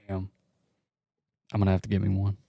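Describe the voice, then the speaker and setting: A man's voice: deep voice, monotone, fairly quick, gravelly, dark tone, no room sound. A man co-hosting a podcast in casual, slangy chat with some swearing. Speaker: podcast co-host.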